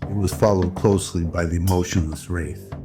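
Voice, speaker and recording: A man's voice: sits low, steady tone, normal speed. A man giving scripted dramatic narration in the style of an old radio drama. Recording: loud music under the voice. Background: background music.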